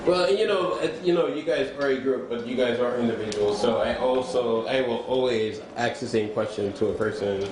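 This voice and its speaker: A man's voice: mid-range voice, normal speed, hardly any pauses. A man hosting a casual interview, upbeat and laughing along with his guests. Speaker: interview host. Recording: echoey room with loud crowd noise.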